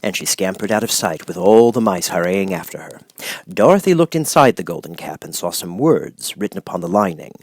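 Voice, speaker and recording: A man's voice: low voice, expressive, brisk, no echo. A man reading a children's audiobook as a scripted story narrator.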